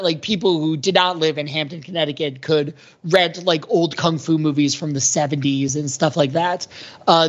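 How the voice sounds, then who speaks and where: Muffled lo-fi american guy, middle aged, rambly podcast chat, says like a lot. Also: fairly high pitch. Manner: rambling, peppered with "like". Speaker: podcast co-host. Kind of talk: casual chat, reminiscing. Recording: dull, lo-fi audio.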